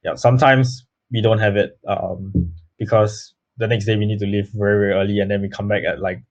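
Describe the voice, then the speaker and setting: A man's voice: on the low side, fairly even intonation, a little fast, soft background music. A young man from Singapore, giving earnest interview answers full of fillers and restarts. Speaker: guest, baseball player. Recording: slightly muffled lo-fi audio.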